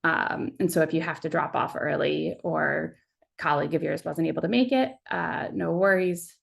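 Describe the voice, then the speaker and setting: Woman, webinar host on a video call, low pitch, creaky edge, casual with fillers. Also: moderate pace. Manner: friendly, many "uh" fillers. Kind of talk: casual online meeting hosting.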